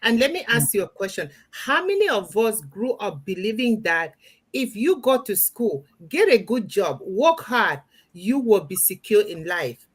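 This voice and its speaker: A woman moderates a livestream over an online call. Her voice is of average female pitch. She makes announcements, poses questions and passes the floor to others. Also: sing-song, measured pace, slightly rough. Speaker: host.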